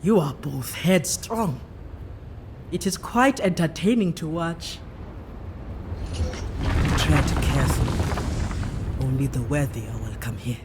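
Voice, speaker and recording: A woman playing a proud, devout island priestess in a fantasy game, speaking with solemn, measured authority. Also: low voice, animated, noisy background. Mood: measured, watchful. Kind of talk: fantasy game character acting. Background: music.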